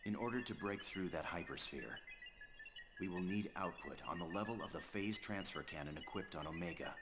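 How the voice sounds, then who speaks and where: An actor playing a composed, formal aide delivering reports in a game cutscene, matter-of-fact throughout. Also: male voice, low-pitched, brisk, over music. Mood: cool, businesslike. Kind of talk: dramatic video game cutscene dialogue.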